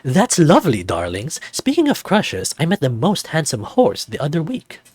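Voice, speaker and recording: A man's voice: on the high side, wildly swooping pitch, normal speed, husky, no echo, pitched above the performer's own voice, full character voice. A flamboyant snow leopard resort host, acted out by a man, with a raised, lilting voice. He is theatrical and campy, like a grand hotelier in a visual novel, and is recorded close and dry. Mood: breezy, gossipy. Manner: dramatic, lavish wording, campy.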